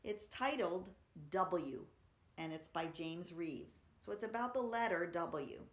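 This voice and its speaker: Slow-paced woman reading poems in an echoey room. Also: fairly low pitch. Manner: simple, explanatory, expressive when reading aloud. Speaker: library story-time reader. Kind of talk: poetry reading for children, chatting between poems. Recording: roomy, echoey room sound.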